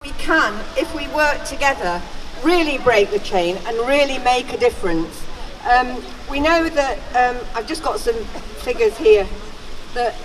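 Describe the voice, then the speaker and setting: A woman giving a campaign speech from notes, halting and stumbling over some words. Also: a little high, animated, crowd noise. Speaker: campaign speaker at a rally. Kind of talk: public speech partly read from notes. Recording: distant outdoor recording with ambient noise.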